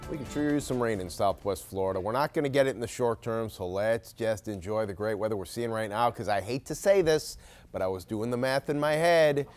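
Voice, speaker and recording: A man's voice: on the low side, fairly quick, darkish tone, faint music behind. Conversational male TV weather forecaster. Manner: conversational, explanatory. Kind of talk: ad-libbed weather forecast presentation.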